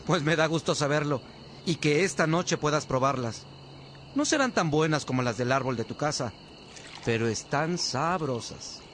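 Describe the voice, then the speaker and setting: A man's voice: on the high side, lively intonation, normal speed, a bit bright. A man playing an attentive, admiring friar in a radio drama, deferential and eager to please. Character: attentive, admiring friar who cooks for brothers. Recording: clear background ambience noise.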